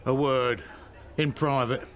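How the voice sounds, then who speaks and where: An actor playing a suspicious, jealous middle-aged husband, terse and serious, in a radio soap. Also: male voice, mid-range voice, fairly even intonation, takes it slowly, close to an everyday voice. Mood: confrontational.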